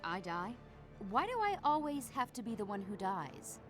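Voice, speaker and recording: Game heroine female actor: indignant, forceful, emphatic, lively higher voice over clear music. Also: normal speed, close to an everyday voice. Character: exasperated, forceful female superhero. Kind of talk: video game character acting.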